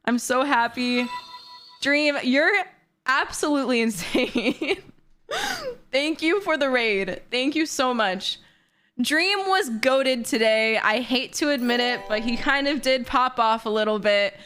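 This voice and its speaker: A woman in her twenties chatting excitedly and bubbly on a livestream from her bedroom. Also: high-pitched, slow pace, clear, resonant tone. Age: 20s. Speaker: streamer. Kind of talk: casual livestream chat.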